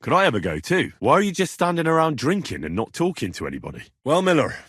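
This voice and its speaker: Husky, lively young medieval adventurer. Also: male voice, a little high, fast talker, no room sound, studio quality, exaggerated voice. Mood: casual, eager. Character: plucky, wry young medieval man, adventurer. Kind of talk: video game dialogue acting.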